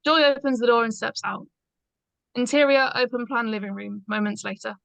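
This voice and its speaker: A woman's voice: fairly high pitch, fairly even intonation, normal speed, creaky, a bit bright, no echo. A woman reading stage directions aloud as scripted narration in a screenplay read-through.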